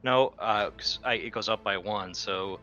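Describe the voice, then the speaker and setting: A man's voice: on the low side, a little slow, sharp tone, muffled recording. A man playing a tabletop game, chatting casually with wry, sarcastic banter.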